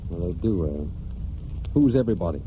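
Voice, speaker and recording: Low-voiced, folksy farmhand. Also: male voice, expressive, moderate pace, a little hiss or hum. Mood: warm, earnest. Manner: folksy rural speech, plain-spoken. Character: plain-spoken rural farmhand man, proud, wary, narrating his story. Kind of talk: 1940s radio drama acting and narration. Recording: dull old 1940s radio broadcast.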